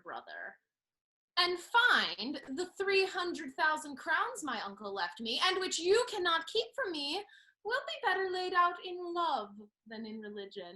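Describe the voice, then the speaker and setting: Woman playing a high, bright, spirited young noblewoman. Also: very animated, measured pace, exaggerated voice, low-quality recording. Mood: cheeky defiant. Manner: theatrical, playful, spirited. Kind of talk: classical stage comedy acting.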